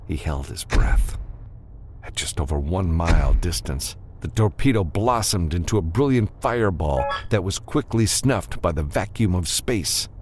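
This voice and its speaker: A man narrating a science fiction audiobook in a measured, expressive storytelling style. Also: low-pitched, a little hiss or hum.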